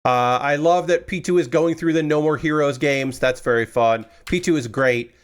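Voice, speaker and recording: A man streaming live from a small room at home, chatting casually and rambling while he builds, with a loud booming voice. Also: moderate pace, smooth. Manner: rambling, chatty, restarts often.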